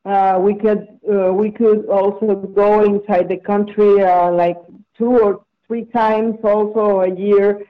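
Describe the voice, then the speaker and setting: A woman from Venezuela with a Venezuelan Spanish accent, a native Spanish speaker answering interview questions in a halting way, with many fillers and restarts. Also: medium pitch, flat delivery, phone-quality sound. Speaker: guest.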